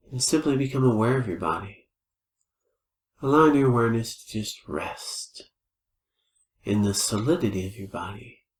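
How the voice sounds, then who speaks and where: A man leading a guided meditation as a teacher, speaking in gentle, unhurried invitations with pauses. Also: fairly low pitch. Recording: roomy, echoing space.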